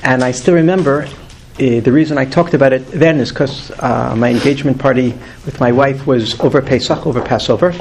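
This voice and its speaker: A man's voice: medium pitch, fairly even intonation, fairly quick, darkish tone, slight background noise. A man in his fifties teaching a weekly Torah class to a group, earnest and emphatic, lifting his voice on key words. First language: not English.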